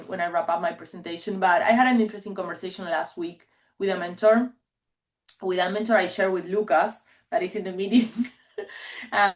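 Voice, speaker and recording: A woman in her forties, a native Spanish speaker, talks to an online meeting through a video call mic. Her pitch sits in an ordinary middle range. She tells her stories in an earnest, chatty way and laughs often. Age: 40s. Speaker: guest speaker.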